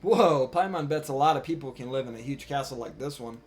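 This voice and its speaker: A man's voice: mid-range voice, a little fast, lightly acted. A man voicing a small, curious, easily spooked fairy companion while reading video game dialogue aloud. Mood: impressed, teasing. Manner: chatty, questioning, speaks in third person. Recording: music behind the voice.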